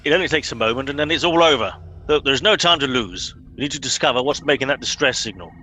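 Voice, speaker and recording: A man plays a pompous captain in a radio comedy, officious and impatient. The voice is forceful and mid-pitched, with faint noise behind it. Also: expressive, quick pace. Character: pompous, impatient male captain of bumbling crew. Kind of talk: comic radio play acting.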